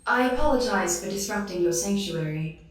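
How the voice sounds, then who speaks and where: A woman's voice: mid-range voice, animated, moderate pace, lightly acted. A woman playing an earnest, devout noblewoman in a fantasy audio drama, formal and emotionally fraught. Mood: troubled. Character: troubled noble clockwork lady, devout and earnest. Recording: heavy echo, distant, music underneath.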